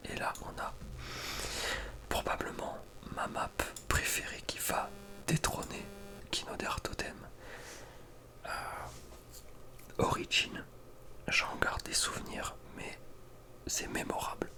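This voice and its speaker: A man speaking in a breathy whisper, giving informal, slangy ASMR commentary as a gaming video creator. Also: slow, pauses often, bright, forceful tone. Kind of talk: whispered ASMR casual commentary ranking video game maps.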